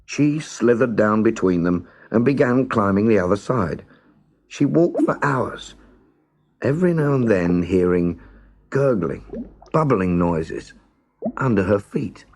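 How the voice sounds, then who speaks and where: A man narrating a scripted children's story as a storyteller, expressive, measured and dramatic. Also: on the low side.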